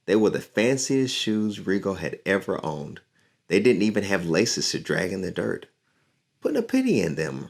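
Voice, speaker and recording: A man reading a picture book aloud to children in an encouraging, unhurried way. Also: low voice, gravelly. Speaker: community leader and musician reading to children.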